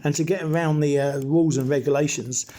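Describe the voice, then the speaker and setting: Mellow man, nostalgic narration. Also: a little high, steady tone, brisk. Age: middle-aged or older. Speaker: radio hobbyist presenter. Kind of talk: nostalgic narrated retrospective, semi-scripted.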